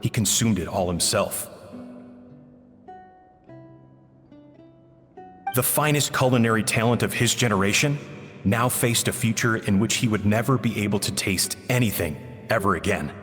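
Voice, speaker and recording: Guy voicing a sad formal game character, low measured voice telling his regrets, music behind. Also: a bit bright, close to an everyday voice. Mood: grieving. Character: regretful man recounting a disgraced friend's tragic downfall. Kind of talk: dramatic scripted game voice acting, letter narration. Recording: long reverb, music behind.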